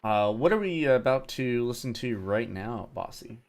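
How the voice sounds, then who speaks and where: A man's voice: mid-range voice, lively intonation, moderate pace. A man co-hosting a casual commentary chat. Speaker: co-host.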